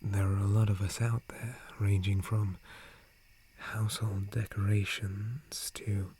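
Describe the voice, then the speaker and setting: Man as a slow, whispering gentle tree spirit. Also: on the low side, level tone, heavily acted. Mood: calm, explaining. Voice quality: breathy whisper. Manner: formal, courteous, hesitant, softly hushed. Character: gentle, polite male dryad, forest guardian tree spirit. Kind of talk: ASMR roleplay.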